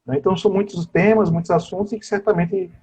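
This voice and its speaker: A man's voice: sits high, steady tone, fast talker, a little mellow, husky, lo-fi sound. A man, a teacher giving an interview, talking at length about education policy in a discursive way and tagging his sentences with little questions. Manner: discursive, with constant tag questions. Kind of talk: political interview over a live stream.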